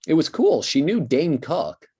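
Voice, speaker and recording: A woman's voice: sits low, sing-song, a little slow, warm tone, slightly rough, exaggerated voice. A woman playing a brash, nosy, immature adult freeloader in a comedy table read. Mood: impressed.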